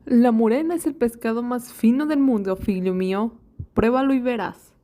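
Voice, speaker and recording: A woman's voice: on the high side, moderate pace, mellow, close to an everyday voice. A woman playing a kindly, coaxing household cook in a radio drama. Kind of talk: scripted radio drama acting.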